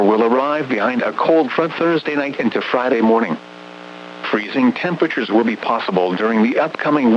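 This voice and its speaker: A dull, slightly noisy radio broadcast with a hum carries a man's mid-pitched voice. He reads a scripted weather bulletin in a formal, measured and impersonal manner.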